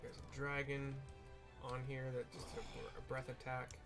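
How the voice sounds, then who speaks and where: A man giving casual, explanatory game commentary, describing the scene in a halting way with trailing asides. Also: mid-range voice, completely flat delivery, music in the background. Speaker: gamer narrating a raid playthrough.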